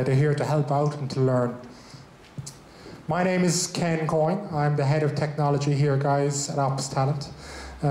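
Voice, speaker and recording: A man's voice: a little high, mellow, a little hiss or hum. An Irish man in his thirties opening a tech meetup as host, speaking loosely into a handheld mic with many fillers and restarts. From Ireland. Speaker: event host, head of technology. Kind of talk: welcome speech at a tech meetup. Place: large event room with a small stage. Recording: echoey event room.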